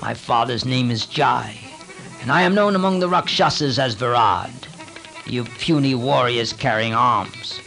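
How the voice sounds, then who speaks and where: A man's voice: medium pitch, unhurried, creaky, bright, forceful tone, faint music behind. A man voicing a huge, bloodthirsty male demon who taunts his foes in a menacing way. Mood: scornful.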